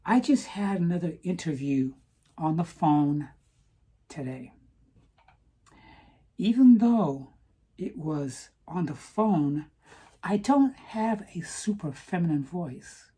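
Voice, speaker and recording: Woman, vlogger, low dark tone, slow, earnest, halting. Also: expressive, slightly rough. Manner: earnest, repeats "okay?" for emphasis. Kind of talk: casual personal vlog.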